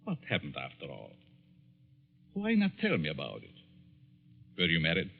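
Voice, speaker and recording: A middle-aged professor from a 1940s radio suspense play, coolly persuasive and quietly menacing. His lowish voice moves theatrically up and down as he probes, all heard through a dull vintage recording. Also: huge pitch swings, creaky, heavily acted. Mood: insistent, persuasive. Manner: deliberate, probing, theatrical. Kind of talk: 1940s radio thriller acting.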